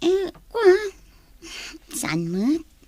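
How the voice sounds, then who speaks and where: A woman's voice: very high-pitched, huge pitch swings, drawn-out words, dark tone, dry recording, heavily acted. A woman playing a shy, pensive young female student in an Ilocano radio drama, hesitant and evasive.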